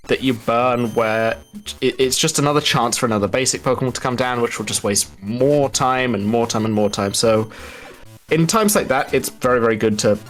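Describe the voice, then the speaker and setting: A man giving live speedrun commentary, explaining as he goes and pausing mid-thought to rephrase. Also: medium pitch, a bit bright.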